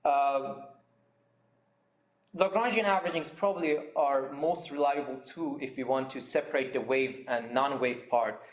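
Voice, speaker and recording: A man's voice: mid-range voice, moderate pace. Guy giving a science seminar on a video call, lots of uhs, kinda echoey room. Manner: explanatory, frequent fillers and restarts. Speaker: seminar speaker. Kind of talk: academic lecture over shared slides.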